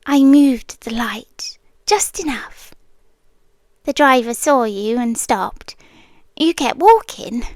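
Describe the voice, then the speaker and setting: A British woman voicing an ancient, obsessively devoted female guardian monster in a hushed, intimate ASMR roleplay, often slipping into whispers. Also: high voice, dry recording. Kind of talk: yandere girlfriend ASMR roleplay. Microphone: close microphone, dry.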